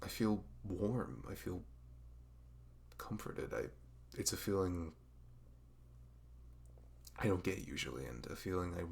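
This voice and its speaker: A man playing a dorky, smitten young best friend in an intimate ASMR roleplay, speaking in a halting, awkward, rambling way. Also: sits low, animated, vocal fry, a little mellow, exaggerated voice. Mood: tender, vulnerable.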